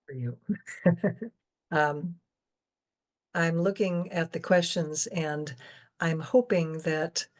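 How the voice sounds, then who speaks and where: A woman's voice: deep voice, slow, long pauses. A woman moderating an interview, informal and a little hesitant as she handles the questions. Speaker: guest moderator reading audience questions.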